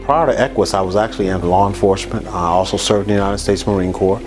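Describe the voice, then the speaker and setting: A man and former Marine telling his career story briskly. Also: low voice, steady tone. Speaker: insurance agent. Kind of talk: testimonial. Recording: background music.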